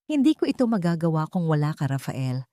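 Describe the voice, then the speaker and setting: A woman voicing a grateful heroine of a romance story, speaking softly and earnestly. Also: deep voice, sing-song, brisk, no echo, clean studio sound. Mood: tender gratitude. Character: grateful woman, healed after heartbreak.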